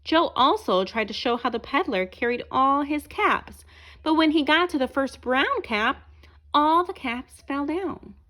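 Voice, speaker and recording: A woman reads a children's storybook aloud. Her voice is high, with very lively rises and falls, and her narration is expressive and aimed at young listeners. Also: measured pace. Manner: asks listeners questions as she reads. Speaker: storybook reader.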